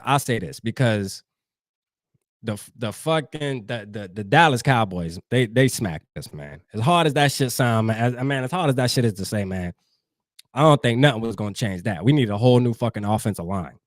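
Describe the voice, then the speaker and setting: A man's voice: mid-range voice, dry recording, studio quality. A man from New Jersey hosting a fan show, talking loosely and profanely straight to his live chat. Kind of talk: casual livestream sports talk.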